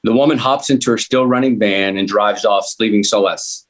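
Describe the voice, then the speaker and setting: A man's voice: on the low side, normal speed. A man reading screenplay action lines aloud as scripted narration at a table read.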